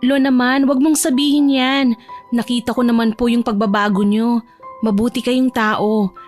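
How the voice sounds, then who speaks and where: A woman's voice: a little high, steady tone, normal speed, darkish tone, faint music behind, natural voice. A woman voicing a cheerful, caring young granddaughter who speaks politely and affectionately in an audio drama. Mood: concerned, caring. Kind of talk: Tagalog audio drama acting.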